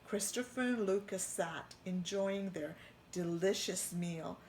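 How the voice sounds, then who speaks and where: A middle-aged woman reading a picture book aloud to children, expressive and pointing out the pictures. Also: lowish voice, takes it slowly, a little hiss or hum. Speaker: children's storytime reader.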